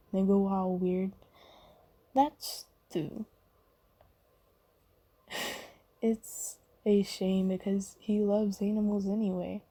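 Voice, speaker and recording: A woman voicing a kindly, apologetic demon in a soft, half-whispered, hesitant and reassuring ASMR comfort roleplay. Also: mid-range voice. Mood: fond, reassuring. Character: kindly apologetic female sleep paralysis demon, gentle comforter.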